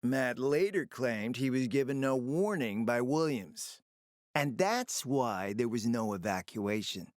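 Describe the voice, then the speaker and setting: An actor as a theatrical, scheming spymaster. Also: male voice, mid-range voice, lively intonation, measured pace, no echo, clean studio sound, natural voice. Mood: grave, conspiratorial. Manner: theatrical, sly, urbane, knowing. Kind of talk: video game voice acting.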